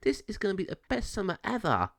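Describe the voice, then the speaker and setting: A man's voice: sits high, huge pitch swings, quick pace, vocal fry, dry recording, studio quality, pitched above the performer's own voice, heavily acted. A man voicing a chatty young female fairy in a children's storybook, girlish and enthusiastic. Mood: excited. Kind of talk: children's storybook read-aloud.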